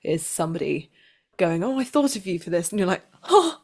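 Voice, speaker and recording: A woman's voice: on the low side, sing-song, a little fast, a little mellow. A young adult woman chatting casually as an actor being interviewed, giggly and self-deprecating.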